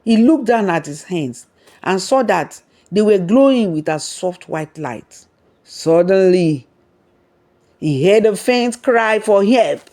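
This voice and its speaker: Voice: medium pitch, expressive, slow, a little mellow. A storyteller reading a scripted folktale narration.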